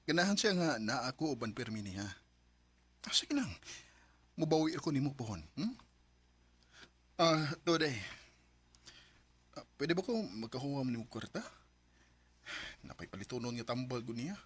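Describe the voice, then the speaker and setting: A man's voice: medium pitch, expressive, moderate pace, long pauses, fairly bright tone, breathy edge. A man with a Cebuano accent playing a troubled, sweet-talking boyfriend in a Filipino radio drama. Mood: pleading.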